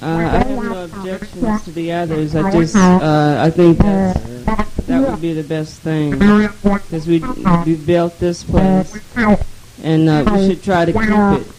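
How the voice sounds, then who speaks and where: A woman answering hesitantly and slowly at a meeting, with many fillers, on an old archival tape. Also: on the low side, over music, low-quality recording.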